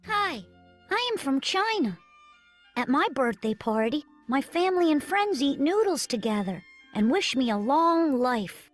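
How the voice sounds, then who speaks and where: Lively high-pitched woman reading for kids. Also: measured pace, faint music behind. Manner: bright, sing-song, clearly read. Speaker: narrator of a children's video. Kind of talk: scripted narration for children.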